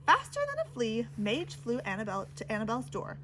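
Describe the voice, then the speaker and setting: A woman's voice: fairly high pitch, wildly swooping pitch, fairly quick, a bit bright, a little hiss or hum, rough recording. A woman reading a children's picture book aloud with theatrical, dramatic emphasis. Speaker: storybook reader.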